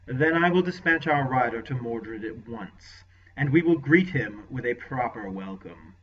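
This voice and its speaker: A man's voice: medium pitch, lively intonation, unhurried, slightly rough. A man voicing an imperious villainous lord. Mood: imperious scheming. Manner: commanding, contemptuous. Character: ruthless scheming male warlord lord, venomous and imperious. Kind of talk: audiobook character voice. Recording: lo-fi dull recording.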